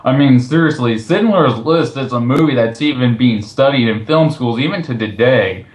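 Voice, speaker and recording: A man's voice: mid-range voice, normal speed, darkish tone. Male commentary host, mid-range voice, casual delivery with a sarcastic, disbelieving edge. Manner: sarcastic, incredulous. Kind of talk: casual commentary with read-out quotes.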